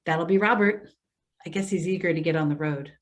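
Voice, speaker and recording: A woman's voice: deep voice, a little fast, close to an everyday voice. A woman playing a patient, concerned social worker in a readers theater drama, speaking in an earnest, coaxing way. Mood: practical, nudging. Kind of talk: readers theater stage acting.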